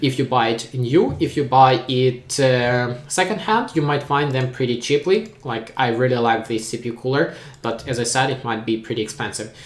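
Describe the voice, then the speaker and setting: Ukrainian-native man, echoey room. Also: medium pitch. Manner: plain, matter-of-fact, with frequent "uh" pauses. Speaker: tech channel host. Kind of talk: live Q&A reading viewer questions.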